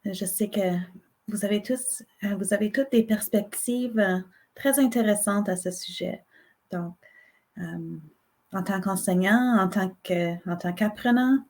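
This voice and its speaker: A woman moderates a panel discussion over a video call. She thanks speakers politely and restates each question clearly, with a steady mid-range voice. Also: medium pitch, measured pace. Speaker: webinar moderator, program director.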